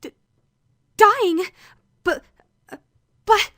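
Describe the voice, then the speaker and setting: A woman's voice: very high-pitched, very slow, a bit bright, dry recording, studio quality, exaggerated voice. A woman playing an anxious young female trainee who stammers and protests in a visual novel fan dub. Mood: panicked. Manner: stammering, hesitant, indignant.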